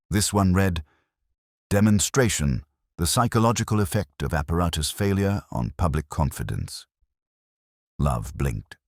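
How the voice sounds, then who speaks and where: A man reading a scripted mystery story as narrator, in a measured, dryly wry way. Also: a bass voice, no room sound, clean studio sound.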